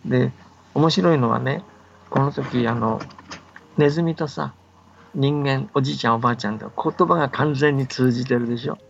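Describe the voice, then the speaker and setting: A man's voice: mid-range voice, moderate pace, creaky. An older man who gives casual, patient explanations as a folktale scholar, often checking that the listener agrees. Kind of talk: casual explanatory radio talk about folktales. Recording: dull band-limited audio, faint background noise.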